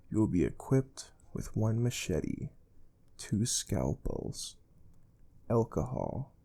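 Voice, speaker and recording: Stern, calm space research recruiter, played by a man, ASMR role play; low-pitched, soft-spoken, very slow, formal delivery. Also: vocal fry, exaggerated voice. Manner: measured, deliberate, formal.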